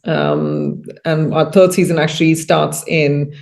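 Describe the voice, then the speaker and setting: A woman in her thirties speaks as a guest in a casual video-call interview. Her voice has a noticeable creak, and she explains things thoughtfully, often rephrasing. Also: low voice, flat delivery, slow, darkish tone. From India. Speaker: interview guest, agriturismo and winery owner. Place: indoor room, on a video call.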